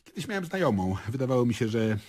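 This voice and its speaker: An actor playing a wry, easygoing, worldly stage and film performer in a radio drama. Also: male voice, medium pitch, very animated, fast, a little mellow. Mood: wry, reminiscing. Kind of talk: Polish radio drama acting.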